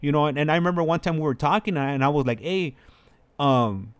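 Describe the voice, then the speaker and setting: A man's voice: fairly high pitch, quick pace, smooth. An American man chatting casually as a channel host, telling personal stories in a rambling, repetitive way full of filler phrases. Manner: rambling, repetitive, peppered with "like" and "you know".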